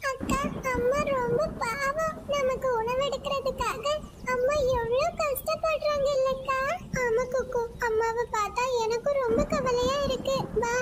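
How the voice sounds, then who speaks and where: A woman's voice: very high-pitched, fairly even intonation, unhurried, heavy background noise, pitched above the performer's own voice. A woman voicing a small, sweet child sparrow in a cartoon story, earnest and caring. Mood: worried, sympathetic.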